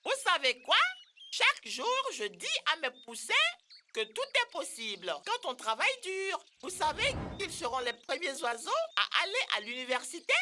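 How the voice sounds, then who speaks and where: A woman's voice: high-pitched, very animated, moderate pace, background noise. A woman voicing a motherly, encouraging hen with an emphatic, rousing manner in a children's cartoon. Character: motherly, encouraging hen, proud of her chicks. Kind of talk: French-language children's cartoon dub.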